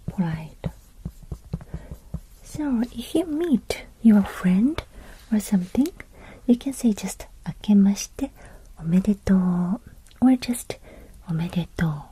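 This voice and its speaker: A woman with a Japanese accent giving a soft-spoken language lesson in a breathy whisper, in short, simple, gentle phrases. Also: mid-range voice, slight background noise, low-quality recording.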